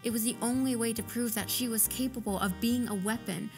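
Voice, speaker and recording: A woman's voice: fairly high pitch, steady tone, fairly quick, a little mellow, faint music behind. A woman narrator reading scripted third-person fiction for an audio drama.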